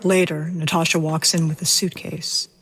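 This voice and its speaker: A woman's voice: low voice, little pitch movement, moderate pace, a little hiss or hum. A woman reading scripted narration for an audio drama in a detached, factual manner.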